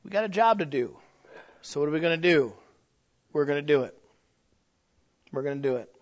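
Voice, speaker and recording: A man's voice: a little high, animated, brisk. A man preaching a sermon in a folksy, humorous way, with emphatic rises and drops. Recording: slightly dull lo-fi sound.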